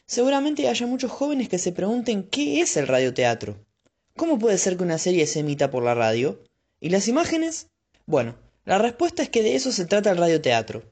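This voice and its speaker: Casting: Argentine female narrator, mellow, scripted and measured. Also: mid-range voice. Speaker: podcast host and narrator.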